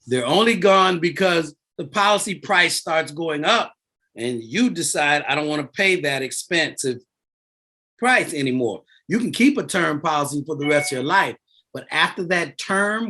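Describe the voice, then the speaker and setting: A man in his fifties, a guest on a podcast, explaining finance in an animated, emphatic way and repeating his key words. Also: a little high, a little slow. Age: 50s. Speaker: guest, insurance and debt author.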